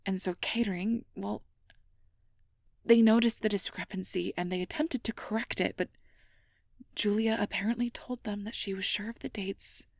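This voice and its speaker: Sweet, conscientious, anxious girlfriend played by a woman for intimate ASMR role-play; breathy, lightly creaky, hesitant and polite. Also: mid-range voice, fairly even intonation, moderate pace, dry recording, studio quality. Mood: exasperated, flustered. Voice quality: breathy, often whispered. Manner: earnest, hesitant, careful polite phrasing. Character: sweet, anxious, conscientious young air mage girlfriend confiding her worries.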